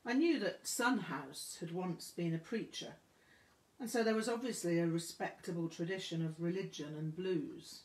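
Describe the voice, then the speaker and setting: A woman reading a memoir aloud as scripted narration, with measured, deliberate phrasing. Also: on the low side, darkish tone. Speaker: audiobook reader. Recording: roomy, echoing room sound.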